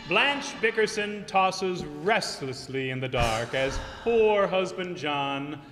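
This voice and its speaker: A man performs a mock-solemn old-time radio announcer. He narrates slowly in a high-set male voice, with music clearly behind him and the ring of a hall. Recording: reverberant hall.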